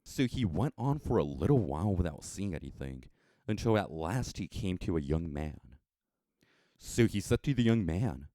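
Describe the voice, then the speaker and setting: An American man narrating a story from a script in a measured, expressive storytelling manner with dramatic emphasis. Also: low-pitched, no room sound, clean studio sound. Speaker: audiobook narrator.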